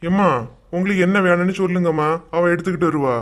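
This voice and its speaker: A woman voicing a placating husband and dutiful son in a comedy cartoon, with a Kanyakumari Tamil accent and a hushing manner. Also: on the low side, fairly even intonation, fast talker, natural voice.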